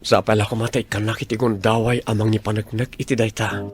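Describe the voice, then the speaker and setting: A man's voice: lowish voice, flat delivery, fairly quick, natural voice. A man playing an earnest young suitor who pleads his love in a radio drama. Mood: pleading.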